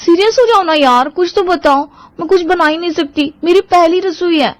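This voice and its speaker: A woman's voice: extremely high pitch, moderate pace, dry recording, pitched above the performer's own voice. A woman voicing an anxious young new bride in a family comedy story, fretful and pleading. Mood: panicked, pleading.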